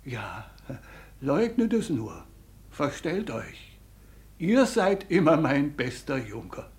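An actor playing a devout, loyal old manservant of seventy-one in a radio drama, humble, emotional and pleading. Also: male voice, fairly high pitch, sing-song, slow pace, darkish tone, full character voice. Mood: tearful affection. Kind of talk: classic German radio drama acting.

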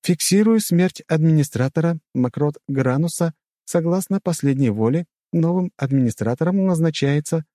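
A man's voice: fairly high pitch, animated, moderate pace, warm tone, no room sound, studio quality, pitched above the performer's own voice, close to an everyday voice. A man voicing a formal, dutiful city artificial intelligence with a procedural manner in an audiobook story. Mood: official.

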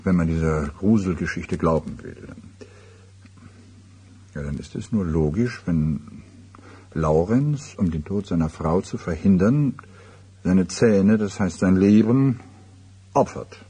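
Radio drama role: reserved professional, low-pitched and very husky, measured, hesitant delivery. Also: male voice, mellow, full character voice. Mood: sober, pensive. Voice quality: deep, husky. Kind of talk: German radio play acting.

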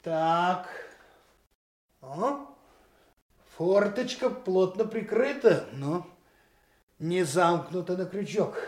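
High-voiced, deliberate police investigator. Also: male voice. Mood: probing. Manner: brisk, instructing, deliberate dictation. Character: brisk male senior criminal investigator, commanding but patient. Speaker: actor. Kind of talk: Soviet radio drama acting.

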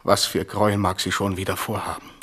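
A man's voice: deep voice, level tone, a little fast, fairly bright tone, breathy edge, exaggerated voice. An actor playing a suspicious, resentful king in a radio play, brooding and intense. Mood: bitter, suspicious. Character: suspicious, resentful king plotting against his brother. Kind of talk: 1970s German radio play acting.

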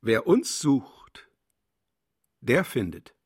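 An actor in a radio play playing a pompous, cynical personnel chief who speaks in a grandiose, theatrical way. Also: male voice, medium pitch, huge pitch swings, drawn-out words, breathy edge, exaggerated voice. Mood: brisk, bossy. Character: pompous, cynical male slaughterhouse personnel chief posing as philosopher. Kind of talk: German satirical radio play acting.

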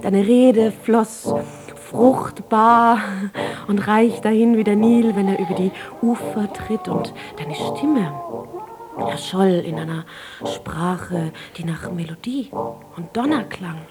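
An actress playing a frail, yearning young woman, once a slave, in a poetic, theatrical and often breathy radio drama style. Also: medium pitch, slow, music in the background, natural voice. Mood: tender, wistful. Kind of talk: literary German radio drama acting.

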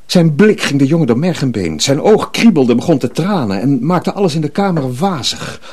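A man narrating a scripted story dramatically, with a deliberate and suspenseful delivery. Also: on the high side, very animated, a little mellow.

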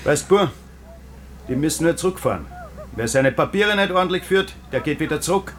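An actor playing a suspicious Nazi border official, probing and condescending with quiet menace, in a radio drama. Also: male voice, a little high, animated, a little fast, close to an everyday voice.

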